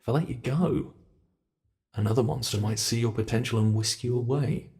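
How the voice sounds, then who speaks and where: A British man voicing an ancient male monster in an ASMR horror roleplay, speaking with soft, mockingly polite menace. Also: medium pitch, natural voice. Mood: possessive menace. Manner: slow, soft-spoken, teasing menace.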